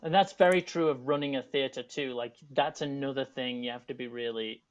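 Englishman with a softened Yorkshire accent. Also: medium pitch, a little fast. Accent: Yorkshire English, softened for Americans. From England. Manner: thoughtful, rambling, with many restarts and asides. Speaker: teaching artist and artistic director. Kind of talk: casual panel discussion on a video call. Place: home room. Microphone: video call, earphones. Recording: dull lo-fi video call audio.

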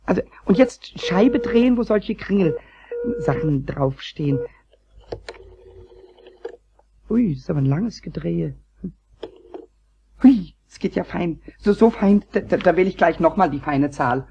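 Raspy squeaky little kobold, childish n flustered, swoopy pitch, stutters, muffled old tape radio play. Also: male voice, normal speed, a little hiss or hum, full character voice. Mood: playful. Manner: stammering, repeating words excitedly. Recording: dull old cassette recording.

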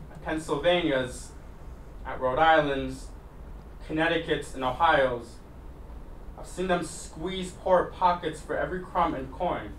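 A man with a high voice recites slam poetry in a dramatic, deliberate manner. He sets phrases apart with emphatic pauses. The recording is distant and echoey, as from a live poetry event. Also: fairly bright tone. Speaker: spoken word poet. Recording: roomy, distant mic, faint noise.

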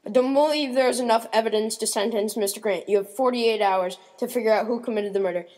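A woman's voice: fairly high pitch, fast talker, a little mellow, lightly acted, poor audio. A woman playing a stern, commanding courtroom judge in an amateur radio mystery.

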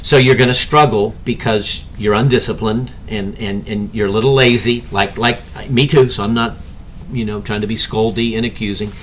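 A man's voice: lowish voice, moderate pace, a little hiss or hum. A middle-aged man teaching a church class in a casual, conversational way, checking in with "okay?" and gesturing as he talks. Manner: conversational, animated hand gestures, keeps asking "okay?". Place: home study with bookshelves and whiteboard.

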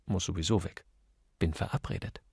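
A suave, witty gentleman detective read by a man in an audiobook. He speaks with urbane, playful wryness and a creaky edge, recorded very dry. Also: deep voice, normal speed, dry recording, voice pushed down, exaggerated voice. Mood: brisk, apologetic. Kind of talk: audiobook character dialogue.

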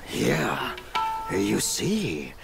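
An actor voicing a sly, gleeful creature in a fantasy video game, gravelly and theatrical, with a singsong delivery. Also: male voice, fairly low pitch, huge pitch swings, drawn-out words, exaggerated voice. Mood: carefree, singsong. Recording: loud music over the voice.

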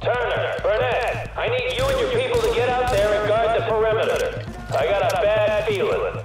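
A high, swooping man's voice as a tense enemy leader in a game. He commands his people forcefully and warily. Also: big pitch swings, normal speed, over music. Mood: uneasy, commanding. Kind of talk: video game combat dialogue.

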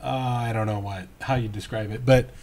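A man's voice: medium pitch, steady tone, normal speed, darkish tone. A man with an American accent chatting casually as a podcast co-host, close to a microphone in a small room at home. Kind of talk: casual chat.

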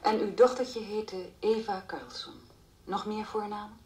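Beleefde, zakelijke politie-inspectrice gespeeld door een vrouw. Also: female voice, mid-range voice, steady tone, unhurried, darkish tone, natural voice. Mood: careful, composed. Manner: polite, formal, careful. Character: tactful, methodical female police inspector interrogating. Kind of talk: radio drama acting.

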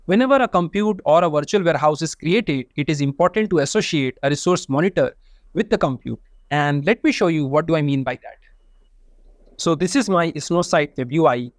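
A man with a somewhat high-pitched voice teaches a data tool in a methodical, step-by-step way. His voice-over is recorded dry and close to the mic, with no room sound. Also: no room sound. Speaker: data engineering instructor. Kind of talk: screen-share software tutorial.

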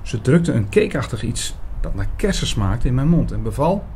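Guy acting as attentive investigator telling his own story in a radio play, soft mellow voice, bit of background noise. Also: mid-range voice, very animated, normal speed, lightly acted. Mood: measured, reflective. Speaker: narrator and lead actor in an audio drama. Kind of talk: scripted radio drama narration.

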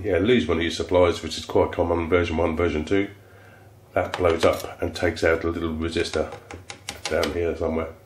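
A man with a very deep voice and a congested, cold-stuffed sound. He explains electronics repair in a loose, chatty way, often restarting his phrases. It sounds like a casual workshop tutorial. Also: a little hiss or hum. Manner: relaxed, rambling, restarts phrases. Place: electronics workbench, indoors.

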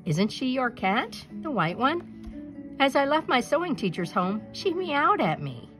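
A woman's voice: high-pitched, sing-song, measured pace, a bit bright, voice pushed up, heavily acted. A charmed young storybook lady acted out by a woman reader speaks in a raised, lilting voice. She sounds light, amused and delighted, with music behind her.

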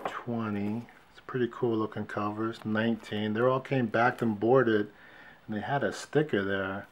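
A man casually showing his comic book finds on camera, calmly counting off issue numbers one by one at a shelf indoors. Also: on the low side, a little hiss or hum. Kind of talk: casual show-and-tell vlog. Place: indoors at a wooden shelf display. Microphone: fixed camera, hands only in frame.

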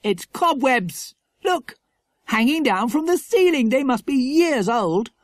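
Reader doing a keen young schoolboy, high lifted voice, lively swoops, breathy edge, storybook. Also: slow pace, no room sound, studio quality, exaggerated voice. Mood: eager. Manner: eager, exclamatory. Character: excited adventurous schoolboy. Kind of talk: audiobook character reading.

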